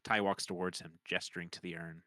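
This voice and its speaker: A man with a low voice narrates scene descriptions from a screenplay at a table read. The sound is dry, with no room echo, and the reading is scripted. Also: level tone, fairly quick, pushed and bright. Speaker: narrator reading stage directions.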